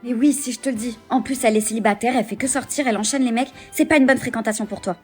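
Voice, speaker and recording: A woman's voice: on the high side, very fast, a little mellow, soft background music, close to an everyday voice. A woman acting a jealous, insistent young lover in a short scripted relationship drama. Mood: uneasy, insistent.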